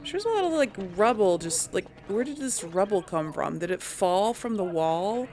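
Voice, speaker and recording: A woman's voice: medium pitch, sing-song, normal speed, a little mellow. A woman doing casual game commentary, musing aloud to herself and her audience. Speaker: let's play host. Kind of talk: casual game commentary with some role play.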